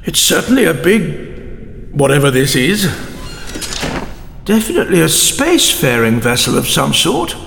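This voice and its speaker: A man playing an eccentric, cautious time traveller in a science fiction audio drama, with a gravelly voice and a wordy, theatrical, pompous manner. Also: fairly high pitch, big pitch swings, measured pace, long pauses, fairly bright tone, exaggerated voice. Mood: curious, musing. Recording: echoey cavernous hall effect, some noise.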